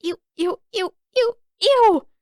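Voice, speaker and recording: A woman's voice: extremely high pitch, slow, no room sound, clean studio sound, pitched above the performer's own voice. A woman voicing a spirited, stubborn, sarcastic teenage girl in an audiobook story. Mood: disgusted.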